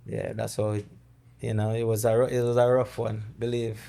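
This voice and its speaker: A middle-aged man from Jamaica with a Jamaican accent, a podcast guest who recounts personal loss in a halting, word-searching way. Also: on the low side, level tone, gravelly, mellow. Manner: halting, searching for words, with many restarts. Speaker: guest, dancehall artist. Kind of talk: conversational interview, storytelling.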